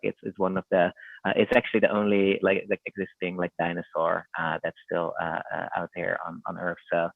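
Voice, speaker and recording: A man from the Netherlands with a Dutch accent, a sales head introducing himself on a video call, halting and repeating words. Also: deep voice, creaky, dry recording. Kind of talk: casual webinar introduction. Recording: dry tinny call audio.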